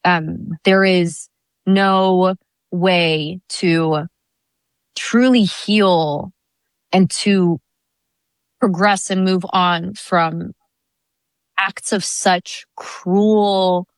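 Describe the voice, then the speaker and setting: Deliberate, low-voiced woman. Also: fairly even intonation, no echo. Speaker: political candidate. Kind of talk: earnest interview answer.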